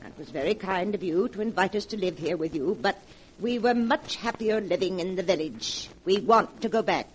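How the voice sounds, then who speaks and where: An actress playing an indignant, strong-willed elderly aunt in 1940s radio style. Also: female voice, medium pitch, moderate pace, natural voice. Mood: complaining. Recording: noisy vintage 1940s radio recording.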